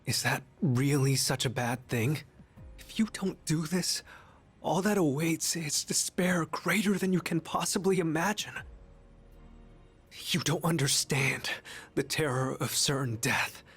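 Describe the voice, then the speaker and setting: Breathy, sorrowful young harbinger of death. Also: male voice, a little high, measured pace, faint music behind. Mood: sorrowful, pleading. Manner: soft, grave, resigned, gently pleading. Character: gentle, sorrowful young male harbinger of death in human form. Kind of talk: English video game voice acting.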